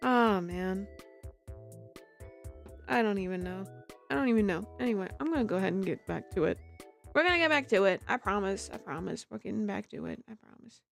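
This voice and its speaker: Giggly girl streamer just rambling to chat, kinda self-deprecating, mic up close, soft music behind her. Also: on the high side, big pitch swings, moderate pace, creaky, long pauses. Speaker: livestreamer, amateur voice actor. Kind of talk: casual chat while livestreaming a game. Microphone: close-up microphone.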